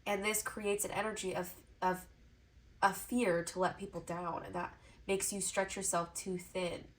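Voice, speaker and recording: A woman with a stuffy, congested nose, giving a pick-a-card tarot reading to camera in a soft, encouraging way, with repeated phrases in flowing lists. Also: mid-range voice, level tone, a little slow. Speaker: tarot reader.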